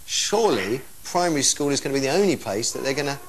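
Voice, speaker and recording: A man's voice: mid-range voice, very animated, quick pace, rough recording. A man hosting a live phone-in discussion, speaking informally and emphatically and putting questions to callers. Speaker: phone-in programme host.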